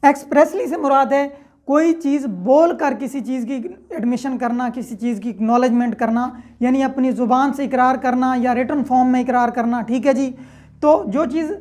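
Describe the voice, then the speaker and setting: A woman's voice: high-pitched, darkish tone. A woman giving an explanatory lecture, step by step, repeating points and checking that listeners follow. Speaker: law lecturer.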